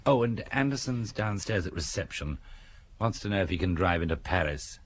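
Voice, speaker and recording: Low-pitched, matter-of-fact policeman. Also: lively intonation, normal speed, husky. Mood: businesslike. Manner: plain, businesslike. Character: matter-of-fact male police subordinate reporting. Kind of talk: audio drama acting.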